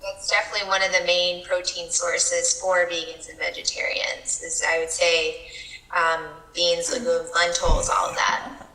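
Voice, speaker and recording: A woman's voice: fairly low pitch, little pitch movement, normal speed, sharp tone, echoey room, low-quality recording. A woman from Miami explaining a recipe in casual chat, hesitant and full of fillers and restarts. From Miami, Florida.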